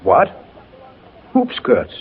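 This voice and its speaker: An actor playing a kindly, amused older doctor in an avuncular, gently teasing 1940s radio drama style. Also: male voice, very slow. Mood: amused, curious. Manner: avuncular, hesitant, chuckling. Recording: muffled old 1940s radio recording.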